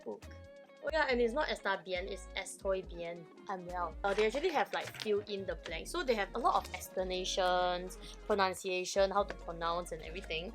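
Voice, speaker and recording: A woman's voice: mid-range voice, fairly quick, soft background music. A woman vlogging casually about learning a language, chatty and playfully teacherly, often checking in with the viewer. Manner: chatty, keeps checking in with "okay?". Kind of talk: casual vlog chat.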